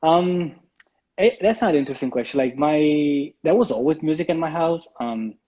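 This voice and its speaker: A man in his thirties from Italy with an Italian accent, speaking English as a guest in a live interview, relaxed and hesitant with many ums and restarts. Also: fairly high pitch, normal speed, muffled recording. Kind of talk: casual interview, live stream.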